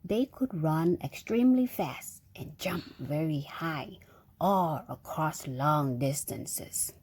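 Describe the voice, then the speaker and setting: Slow, low-pitched woman reading a storybook. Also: animated, darkish tone, slightly rough. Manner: engaging, prompting with questions and sound effects. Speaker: parent reading aloud to a young child. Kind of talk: slow storybook read-aloud with chatty asides to a child.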